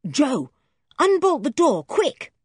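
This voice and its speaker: A woman voicing a sensible young boy who talks in a brisk, encouraging way, in a storybook reading. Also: high-pitched, huge pitch swings, a little mellow, no room sound, studio quality, voice pushed up, exaggerated voice. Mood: urging. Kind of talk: storybook character voice.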